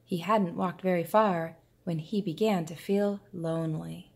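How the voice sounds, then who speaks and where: A woman reading a children's picture book aloud in a deliberate, expressive storytelling manner. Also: lowish voice, gravelly. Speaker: preschool teacher reading aloud.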